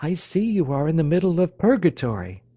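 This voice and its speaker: A man pitching up into a coquettish young woman who mocks sweetly and plays with every word. Her voice swoops high and light in a dry, close reading. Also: big pitch swings, fairly quick, dark tone, breathy edge, no echo. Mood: teasing. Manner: playful, mocking lilt.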